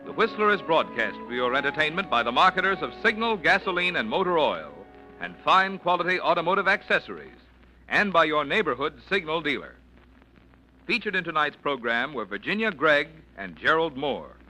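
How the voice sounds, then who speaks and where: Bright, lively male radio announcer. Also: a little fast, soft background music, low-quality recording. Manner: polished, persuasive pitchman delivery.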